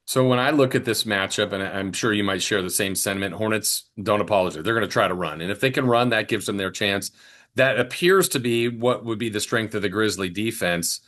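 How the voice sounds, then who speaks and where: Lower-pitched male sports podcast host. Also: a little fast, a bit bright. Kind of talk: conversational sports interview.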